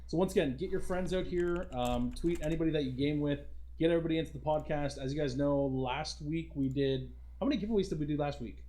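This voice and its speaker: A man's voice: a little high, quick pace, dark tone. A man hosting a casual livestream podcast, talking informally and addressing his viewers as guys. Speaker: podcast host.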